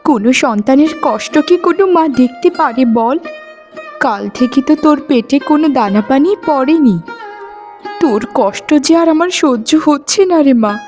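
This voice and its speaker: A woman's voice: sits high, expressive, moderate pace, darkish tone, faint music behind. A woman voicing a long-suffering poor village wife and mother in a cartoon, plaintive and weary. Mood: sorrowful. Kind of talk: cartoon voice acting in a moral story.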